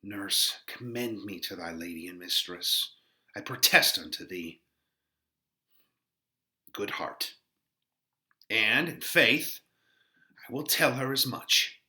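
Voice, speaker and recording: A man playing a courteous young gentleman and lover in a dramatic Shakespeare reading, speaking in a measured, polite way. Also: fairly low pitch, expressive, voice pushed down, full character voice. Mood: sincere.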